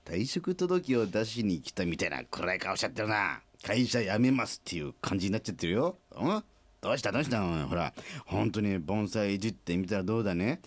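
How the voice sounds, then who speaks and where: Man playing a chatty, pun-loving company boss, very animated. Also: fairly low pitch, fairly quick, no room sound. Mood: jokey, coaxing. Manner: rambling, jovial, laughs at his own jokes. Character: chatty pun-loving small-factory company president, middle-aged man. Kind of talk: comic radio drama acting.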